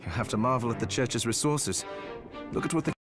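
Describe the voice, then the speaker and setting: A man's voice: mid-range voice, a little fast, lightly acted. A man playing an earnest, caring alchemist in a video game. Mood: eager, explaining. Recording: music behind the voice.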